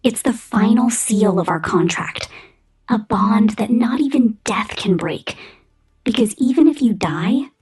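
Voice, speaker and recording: A woman playing a menacing, possessive robot boss in an ASMR roleplay, commanding and pompous in a deliberate corporate manner. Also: mid-range voice, expressive, darkish tone, slightly rough.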